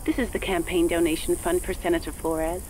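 A woman playing a polite, formal automated hotline announcer in a video game, with a measured, scripted delivery. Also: lowish voice, level tone, a little mellow, a little hiss or hum, natural voice, poor audio. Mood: formal, courteous. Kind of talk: scripted recorded phone message.

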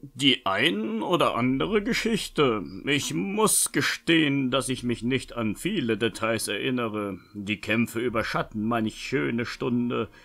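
A man's voice: mid-range voice, expressive, slow, creaky, fairly bright tone, full character voice. A man playing a polite, evasive young nobleman, speaking formally in a fantasy audio drama. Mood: evasive, careful. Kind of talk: fan-made fantasy audio drama acting.

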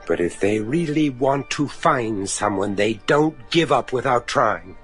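Stern, determined American judge. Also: male voice, medium pitch, expressive, breathy edge, faint music behind. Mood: stubborn, defiant. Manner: deliberate, forceful, formal. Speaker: actor. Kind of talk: gothic radio drama acting.

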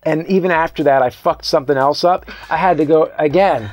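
An American man in his thirties hosting a movie review show, telling a casual story in a halting, self-interrupting way. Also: on the high side, darkish tone, no echo. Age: 30s. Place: small indoor room set lined with videotapes.

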